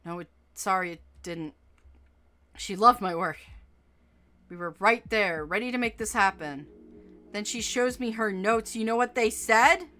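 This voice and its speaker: A woman's voice: medium pitch, a little slow, long pauses, a bit bright, close to an everyday voice. A woman voicing a short-tempered young woman with a tail, bitter and swearing in a storybook reading. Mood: furious.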